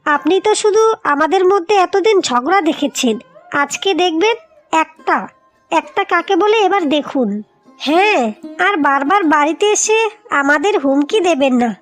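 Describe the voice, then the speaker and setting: A proud, feisty young cartoon wife, acted by a woman, with an extremely high voice. She speaks firmly, as if telling someone off. Music plays underneath. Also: expressive, moderate pace. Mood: firm, defiant. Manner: firm, scolding. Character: feisty, proud young wife defending her family home. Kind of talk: cartoon dialogue.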